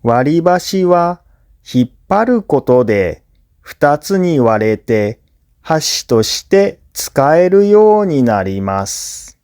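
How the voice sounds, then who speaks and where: Native Japanese man, language teacher, scripted explanatory narration, slightly high mellow voice, simple learner-friendly delivery. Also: big pitch swings, takes it slowly, clear, resonant tone. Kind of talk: scripted listening-practice narration.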